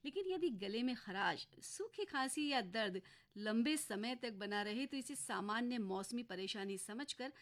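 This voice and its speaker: A woman radio host presenting a program for women listeners, giving advice in a clear, measured way. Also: on the high side, animated.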